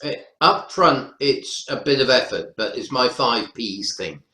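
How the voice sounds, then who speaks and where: A man's voice: medium pitch, expressive, a little slow, bright, forceful tone. North Welsh man in a roomy echo. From North Wales. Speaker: lecturer, webinar panelist. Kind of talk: explaining teaching practice in an online panel. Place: indoor room, online webinar. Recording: roomy echo on webinar audio.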